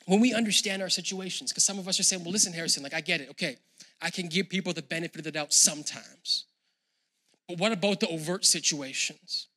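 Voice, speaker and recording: A male pastor with a high, very bright and forceful voice delivers a sermon. He speaks in a relaxed, conversational way to viewers at home, then builds to loud, emphatic preaching.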